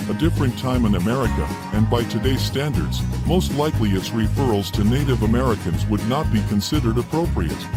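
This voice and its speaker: A man with a mid-pitched voice narrates scripted program introductions as a radio host. Music plays loudly behind him throughout. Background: loud music.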